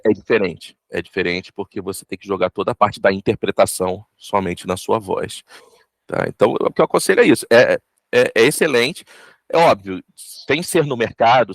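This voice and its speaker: A man's voice: fairly low pitch, gravelly, no room sound. A man with a Brazilian Portuguese accent giving casual interview advice, explaining things with emphatic repetition. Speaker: voice actor giving career advice.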